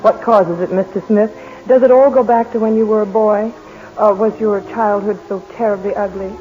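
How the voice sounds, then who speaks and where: A woman's voice: mid-range voice, steady tone, normal speed, dull audio, faint music behind, close to an everyday voice. An actress playing a wry young woman newspaper editor who teases and probes, in 1940s radio drama style. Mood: teasing. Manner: wry, probing, lightly mocking.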